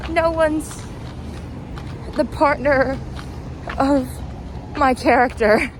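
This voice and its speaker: A woman's voice: sits high, extremely slow. A young woman vlogging casually as she walks, hesitant and meandering, with a self-deprecating way of talking. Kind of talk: casual rambling walking vlog. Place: outdoors, walking at night. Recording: noisy outdoor street, traffic ambience. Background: traffic and urban noise.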